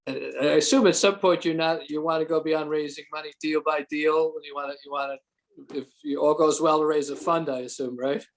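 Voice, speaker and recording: A man attending an investor webinar who asks casual questions, halting and repeating words as he goes. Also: on the high side, steady tone, rough recording. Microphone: video call.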